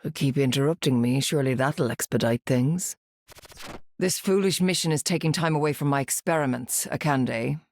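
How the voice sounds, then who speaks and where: A man's voice: medium pitch, moderate pace, a little mellow, slight background noise, close to an everyday voice. An actor playing an irritable, sarcastic scientist villain in a video game, impatient in his delivery. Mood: irritated.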